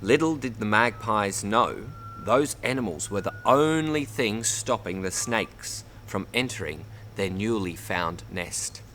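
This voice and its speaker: An Australian man reads a children's story aloud in an expressive way, addressing young listeners as a storyteller. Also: lowish voice, a little slow, hardly any pauses, a little hiss or hum. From Bundaberg, Queensland, Australia. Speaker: storybook reader. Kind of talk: read-aloud children's story, narration with word teaching.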